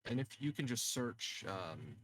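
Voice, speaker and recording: A man playing a tabletop game, chatting casually with a flippant, deadpan joking manner. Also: medium pitch, measured pace, slight background noise.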